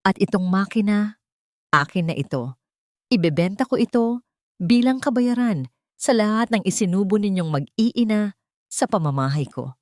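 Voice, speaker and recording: A woman's voice: medium pitch, animated, moderate pace, long pauses, darkish tone, dry recording, studio quality, natural voice. Woman voicing a cruel, sneering old mother-in-law. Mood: scornful. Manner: sneering, insulting. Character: cruel, greedy elderly mother-in-law, contemptuous scold.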